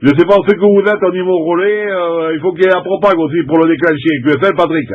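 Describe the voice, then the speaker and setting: A middle-aged man from France talks as a CB operator in a somewhat high-pitched voice. His chat is casual and colloquial, halting and circling back over the same phrases. The sound is a little dull and lo-fi. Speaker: amateur CB radio operator. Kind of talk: casual CB radio contact chat.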